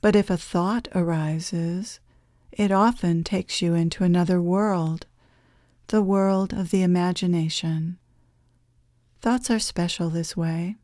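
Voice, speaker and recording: A woman leading a guided meditation in a gentle, soothing, unhurried manner, leaving long pauses between phrases. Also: fairly low pitch, fairly even intonation, no room sound, clean studio sound. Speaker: guided meditation leader, channeler.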